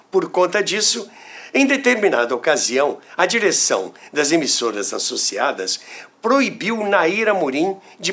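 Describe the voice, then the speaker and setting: Expressive, slow-paced Brazilian man telling stories. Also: on the high side. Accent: Brazilian Portuguese. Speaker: host and storyteller of a radio history show. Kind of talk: scripted narration, storytelling.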